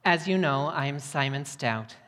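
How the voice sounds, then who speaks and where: A woman's voice: low-pitched, expressive, a little slow, a bit bright. An American woman giving a sermon to a congregation as a guest missionary preacher. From United States, Midwest. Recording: long hall reverb.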